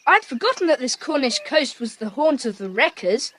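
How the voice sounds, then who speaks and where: Eager curious kid in an adventure audio play, high voice, very animated. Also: female voice, moderate pace, full character voice. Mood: thoughtful. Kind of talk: children's audiobook drama acting.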